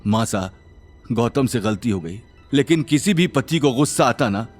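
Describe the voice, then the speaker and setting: A man's voice: medium pitch, animated, unhurried, faint music behind. A man voicing an aggrieved, dutiful middle-aged father in an audio drama. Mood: pleading.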